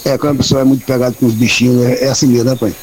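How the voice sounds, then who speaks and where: A man calling into a radio show, speaking in a rapid, repetitive, folksy way. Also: mid-range voice, level tone, darkish tone, poor audio. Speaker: caller.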